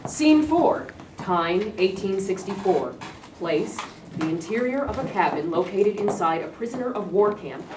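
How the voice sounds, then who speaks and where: A woman's voice carries from a distance in an echoey room, with faint noise behind it. She reads stage directions as scripted narration, pitched a little low.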